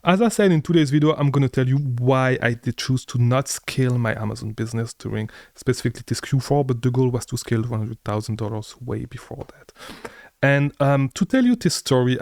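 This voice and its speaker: A young man from Belgium speaks casually to the camera at a middle pitch, telling a long story. He rambles, restarts sentences and stumbles over repeated words. The sound is dry and close, with no room echo. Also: vocal fry, a little mellow. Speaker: online business creator. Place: family house indoors.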